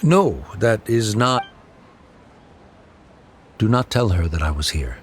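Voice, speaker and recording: A man playing a proud, awkward scholarly father in a video game, formal and halting in his speech. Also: on the low side, very animated, a little hiss or hum. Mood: defensive. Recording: faint music behind the voice. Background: faint game music.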